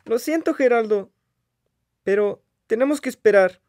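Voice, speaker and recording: A man voicing a small, cheerful, patient female pig in a children's picture book, with a gentle reassuring manner. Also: very high-pitched, normal speed, no room sound, clean studio sound.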